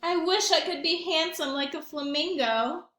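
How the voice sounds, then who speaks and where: A woman's voice: high-pitched, moderate pace, sharp tone, echoey room, pitched above the performer's own voice. A woman voicing a wishful, discontented little chameleon from a picture book, in an emphatic, longing way.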